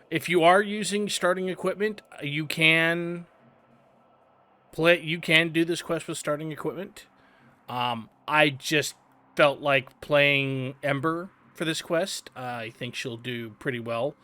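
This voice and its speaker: A man's voice: fairly high pitch, slow, bright, forceful tone. A man hosting a casual let's-play, explaining in a chatty way with fillers and asides. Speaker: game channel host.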